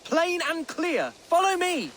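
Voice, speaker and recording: An actor playing a fragile, scattered young Saxon nobleman, tipsy and lovestruck, in a slurring, halting video game performance. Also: extremely high pitch, wildly swooping pitch, a bit bright, exaggerated voice. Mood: eager, excited. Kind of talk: video game cutscene acting.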